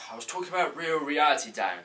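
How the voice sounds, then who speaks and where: A man's voice: a little high, lively intonation, brisk, pushed and bright, recorded from a distance. A man playing a fussy, defensive, nerdy young podcaster at a comedy table read, indignant and pedantic. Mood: exasperated. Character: fussy, defensive, nerdy twenty-one-year-old male podcaster. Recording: faint room noise, off mic.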